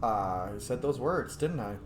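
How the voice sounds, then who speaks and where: A man's voice: medium pitch, lively intonation, moderate pace, slight background noise. A man giving casual gameplay commentary, offhand, with muttered asides, roleplay and some swearing. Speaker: gamer, let's player. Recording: roomy mic with game music behind.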